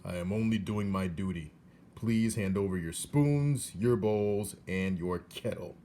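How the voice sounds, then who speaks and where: A man's voice: on the low side, a little hiss or hum, lightly acted. A man doing a stiff, dutiful king's soldier. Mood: official. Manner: formal, measured, loud official tone.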